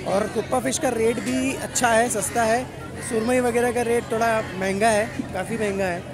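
A man's voice: extremely high pitch, fairly even intonation, normal speed. A man in his thirties vlogging casually in a busy outdoor fish market, filming himself at arm's length and chatting to the camera. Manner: chatty, asks vendors prices. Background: crowd of market chatter.

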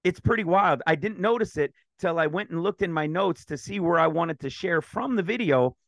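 Man, Bible teacher, high voice, dry close sound, a touch raspy today, eager, talks in loose runs with restarts. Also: moderate pace, dry recording. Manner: excited, rambling with restarts. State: slightly raspy throat. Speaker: ministry teacher. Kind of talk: screen-share Bible teaching.

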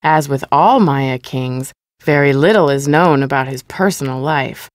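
A woman playing a composed museum exhibit narrator in a game, giving measured, formal educational narration. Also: rumbling low, dry recording, studio quality.

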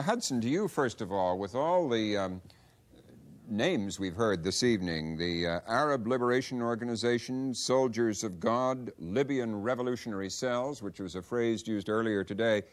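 Formal middle-aged male news anchor. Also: fairly low pitch. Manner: measured, formal delivery. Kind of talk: scripted news reading and interview questions. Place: television news studio.

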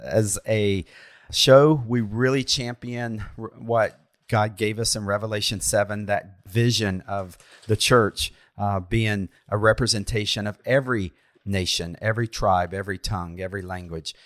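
A man's voice: fairly low pitch, steady tone, moderate pace, a bit bright, no room sound, studio quality. A man hosting a faith podcast, introducing and welcoming his guest. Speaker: podcast host. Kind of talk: interview hosting.

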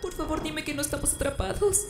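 A woman's voice: high voice, quick pace. A woman with a Latin American Spanish accent playing an uneasy, frightened young heroine in a video game fan dub, anxious and pleading. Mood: alarmed. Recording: echoey, under music.

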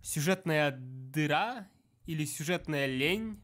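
A man's voice: a little high, expressive, slow, fairly bright tone. A man delivering scripted, nitpicking commentary on film mistakes in a sarcastic, mock-exasperated manner. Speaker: video essayist pointing out film mistakes.

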